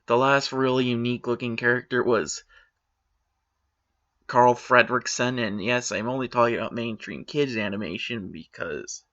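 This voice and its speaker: A man's voice: mid-range voice, fairly bright tone, muffled recording. A man giving opinionated commentary on a written post, critical and matter-of-fact with sarcastic asides.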